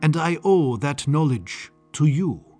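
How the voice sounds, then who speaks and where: A man's voice: fairly high pitch, sing-song, unhurried, warm tone, soft background music. A man voicing a smug, gleeful and sinister scientist in an audiobook, with a sing-song, condescending, theatrical manner. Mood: smug, boastful. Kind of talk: audiobook character acting.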